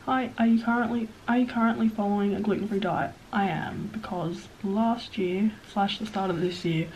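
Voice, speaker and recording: A woman in her early twenties with an Australian accent, talking casually to camera with a stuffed-up, nasal voice, rambling and self-deprecating, sometimes dropping to a soft whisper. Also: medium pitch, steady tone, normal speed, a little hiss or hum, rough recording. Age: 20s. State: sniffly, stuffed-up nose. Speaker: vlogger.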